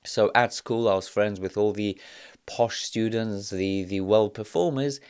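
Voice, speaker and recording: Low, smooth-voiced man telling his story. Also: a little mellow. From South Africa and Poland. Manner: measured, reflective, with frequent "uh" pauses. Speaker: podcast host. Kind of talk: personal storytelling monologue.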